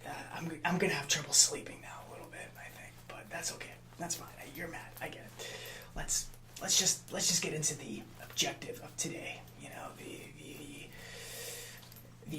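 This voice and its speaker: A man's voice: a little high, pushed and bright, roomy sound. Whispering, mild-mannered henchman, acted by a man. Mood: hurt, placating. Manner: hesitant, stammering, mild-mannered, placating. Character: nice, easily hurt male assistant to a post-apocalyptic gang leader. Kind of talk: ASMR roleplay, whispering and soft speaking.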